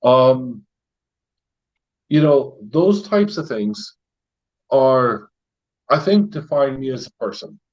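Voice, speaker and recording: A man's voice: mid-range voice, very animated, slow pace, pauses often, highs cut off. A middle-aged American man, a retired paramedic, hosting a casual live stream and rambling with fillers and laughs. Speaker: YouTube channel host.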